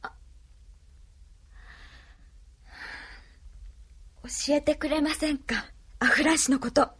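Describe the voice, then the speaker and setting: A woman's voice: high-pitched, fairly even intonation, a little fast, fairly bright tone. An actress playing an earnest, headstrong and loyal young resistance woman in a Japanese anime audio drama, pleading and insistent.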